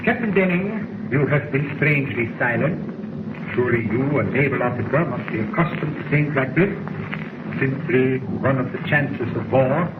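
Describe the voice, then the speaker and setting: An actor playing a cold, smug foreign spy leader with a German accent, menacing and mock-courteous, in 1940s radio drama style. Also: male voice, medium pitch, expressive, normal speed, lightly acted. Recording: scratchy tinny 1940s radio recording, heavy noise.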